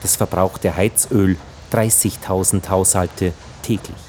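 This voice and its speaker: A man's voice: sits low, fairly even intonation, slow pace, darkish tone, soft background music. A man reading scripted, factual narration for a radio play, in a documentary manner.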